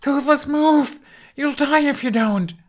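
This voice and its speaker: A desperate, defiant teenage boy played by a male narrator in a storybook adventure. His voice jumps high and loud as he cries out with impassioned force, pleading for his dragon. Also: takes it slowly. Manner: yelling, crying out, impassioned. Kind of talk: audiobook character acting.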